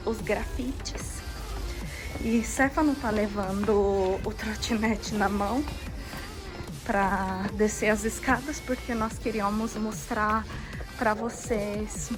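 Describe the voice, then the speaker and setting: A woman's voice: mid-range voice, steady tone, takes it slowly, music in the background. A young woman with a Brazilian accent chatting to viewers as she walks through city streets for a travel vlog. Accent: Brazilian Portuguese. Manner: chatty, addresses viewers directly as "gente". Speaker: vlogger. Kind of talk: casual travel vlog narration.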